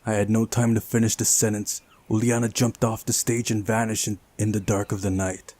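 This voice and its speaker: A man's voice: fairly low pitch, level tone, a little fast, darkish tone. A man reading a visual novel aloud during a playthrough, narrating the story and adding casual asides of his own.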